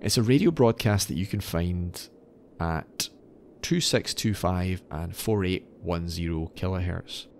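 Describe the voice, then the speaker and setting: A man hosting a podcast, telling stories in a semi-scripted, articulate and measured explanatory way. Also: deep voice, slight background noise. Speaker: podcast host. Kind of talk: semi-scripted storytelling narration.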